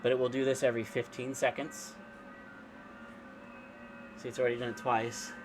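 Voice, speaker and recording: A man giving an informal, unscripted software walkthrough, explaining step by step in a casual way. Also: medium pitch, little pitch movement, moderate pace, noisy background. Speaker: amateur radio operator giving a software tutorial. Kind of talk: informal screen-share tutorial, unscripted walkthrough.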